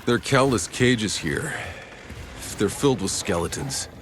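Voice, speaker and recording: An actor playing a grave, matter-of-fact soldier in a video game cutscene. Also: male voice, lowish voice, sing-song, unhurried, noisy background. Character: gruff soldier reporting grim discoveries to companions.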